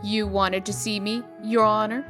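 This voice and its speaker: Casting: woman as businesslike female deputy, medium pitch, formal, measured and drily skeptical, light music underneath. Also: little pitch movement, a bit bright, lightly acted. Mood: deferential. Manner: formal, precise, dryly skeptical. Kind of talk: comic dub character acting.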